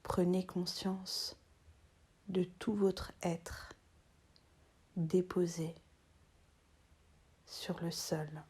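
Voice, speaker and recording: A woman guiding a relaxation meditation as a yoga teacher, in a soft, breathy, half-whispered voice, soothing and unhurried with long pauses between short phrases. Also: fairly low pitch, steady tone.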